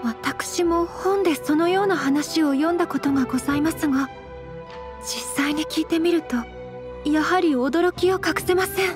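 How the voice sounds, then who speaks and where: An actress playing a polite, refined young noblewoman in an anime game, speaking gently and very formally. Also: very high-pitched, moderate pace, over music. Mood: polite, admiring. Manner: very formal honorific speech, composed and courteous. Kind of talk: Japanese anime game voice acting.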